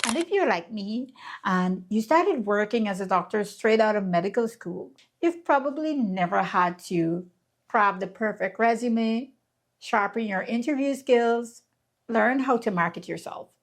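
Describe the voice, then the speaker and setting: A woman's voice: medium pitch, animated, unhurried. A woman, telling her own story in an earnest, candid and reflective scripted vlog narration. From Guyana. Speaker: vlogger.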